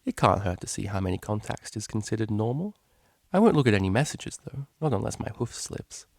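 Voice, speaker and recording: A prim young mare cellist from a storybook, acted out by a man, pitched above his natural voice. She is fussy and formal, easily exasperated, and speaks into a dry, close recording. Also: very animated, a little fast, creaky, darkish tone, no room sound, heavily acted. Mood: sheepish.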